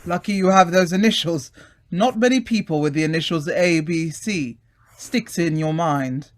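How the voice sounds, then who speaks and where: An actor playing a breezy, affable British gentleman in old-time radio drama style, hearty and polite, with old-fashioned phrasing. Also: a little high, normal speed, natural voice. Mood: affable, curious.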